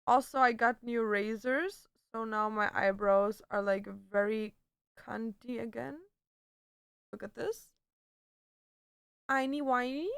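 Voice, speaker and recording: A woman's voice: on the high side, slow pace, creaky, long pauses, clear, resonant tone, no echo, studio quality. A woman livestreamer chatting casually and answering viewers, with a slangy, blunt and playfully sweary way of talking. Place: indoor home streaming setup.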